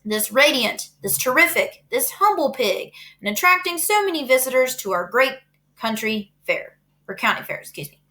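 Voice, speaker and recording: A woman voicing a pompous male fair announcer speaking over a loudspeaker, with grand, booming delivery. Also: sing-song, normal speed, exaggerated voice. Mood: grandiose.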